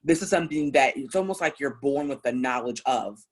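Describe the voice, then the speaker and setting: A woman in her thirties from Ohio with a deep voice, speaking earnestly and reflectively as a panel speaker sharing personal testimony. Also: expressive, fairly quick. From Columbus, Ohio, US. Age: 30s.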